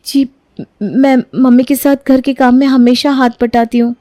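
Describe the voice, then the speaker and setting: A woman's voice: sits high, flat delivery, moderate pace, warm tone, dry recording, studio quality, voice pushed up, natural voice. A woman voicing a dutiful, modest young bride-to-be with a deferential, hesitant manner in a cartoon story. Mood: meek. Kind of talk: Hindi cartoon moral story dialogue.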